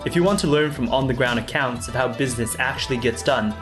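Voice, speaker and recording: A man's voice: mid-range voice, quick pace, music in the background. A man speaking as a podcast host, introducing the show and putting questions to a guest. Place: sit-down interview in Shenzhen.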